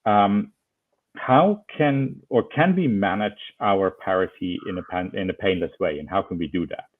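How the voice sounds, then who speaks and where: Low-voiced Swiss man on a tinny call. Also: moderate pace. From Switzerland. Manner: relaxed, repeats words while searching for phrasing. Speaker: panel moderator. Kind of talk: online panel discussion. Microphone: video call. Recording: tinny phone-like call audio.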